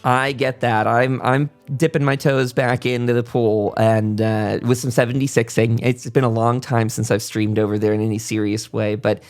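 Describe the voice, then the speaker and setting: A man's voice: mid-range voice, level tone, moderate pace, hardly any pauses, darkish tone, faint music behind. Enthusiastic, rambling male podcast host. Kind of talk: casual interview chat.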